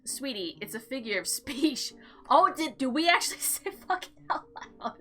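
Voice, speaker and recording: A woman hosting a let's play, reading game text aloud with expressive, slangy commentary and sarcastic asides. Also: high-pitched, moderate pace. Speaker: gaming video host.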